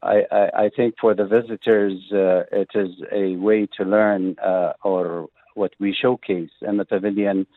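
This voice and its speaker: A man's voice: fairly low pitch, flat delivery, measured pace, hardly any pauses, dry recording. A man speaking as a guest in a radio interview, hesitant, full of "uh" and restarts. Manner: hesitant, many fillers and restarts. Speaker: pavilion representative guest. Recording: tinny phone line, dry.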